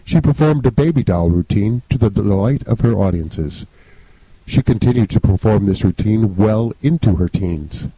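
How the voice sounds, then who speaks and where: A man's voice: on the low side, lively intonation, normal speed, mellow, breathy edge, dry recording, rough recording. A man reading scripted mock-documentary narration in a formal, grandiose storytelling manner.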